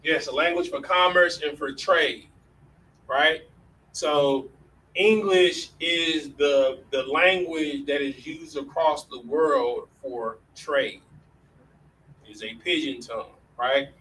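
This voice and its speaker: A man's voice: fairly high pitch, sharp tone. Man, class teacher, casual classroom lesson, distant mic, faint background hiss. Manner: casual, slow, fills with "all right".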